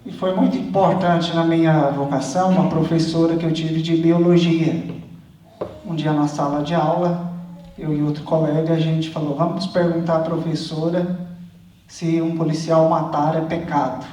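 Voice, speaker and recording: Brazilian guy from goias, priest giving testimony, flat mellow voice, big echo, kinda far from mic. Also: on the high side, moderate pace. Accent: Brazilian Portuguese, from Goiás. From Goiás, Brazil. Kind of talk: personal testimony to a congregation. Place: large reverberant church. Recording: very echoey church, mic at a distance.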